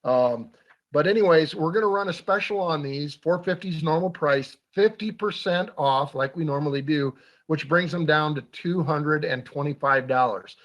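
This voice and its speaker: A man's voice: on the high side, fairly bright tone, lo-fi sound. A man hosting a hunting talk show, talking casually with lots of fillers and restarts. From Iowa. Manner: halting, many fillers and restarts. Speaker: radio show host.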